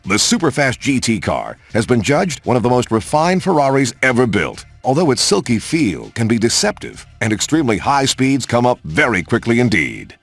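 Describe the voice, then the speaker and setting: A male narrator delivers a scripted showcase with polished, measured phrasing. His mid-pitched voice moves expressively up and down. The recording is dry and close, with faint music behind him. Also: big pitch swings, dry recording.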